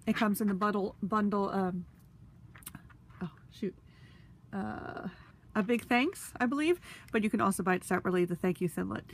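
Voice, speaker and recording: A woman's voice: mid-range voice, normal speed, pauses often. Sniffly woman chatting through a craft demo. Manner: chatty, rambling with fillers; talks while handling tools. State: congested, sniffly. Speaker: crafting demonstrator. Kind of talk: casual tutorial demonstration.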